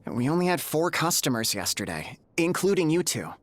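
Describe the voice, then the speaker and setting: Low, dry bathhouse worker. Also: female voice, big pitch swings, brisk, no echo. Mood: somber, dry. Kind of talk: video game voice acting.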